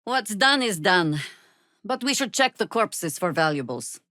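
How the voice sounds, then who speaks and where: An actress playing a world-weary, wry middle-aged veteran druid who leads rebels in a video game, with a dry, measured delivery. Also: female voice, medium pitch, very animated, pushed and bright, no echo. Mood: reflective, wry. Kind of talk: video game character acting.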